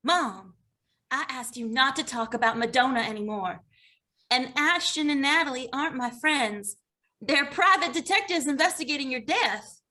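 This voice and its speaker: A woman playing a timid but indignant young daughter in an animated comedy table read. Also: high-pitched, normal speed, full character voice.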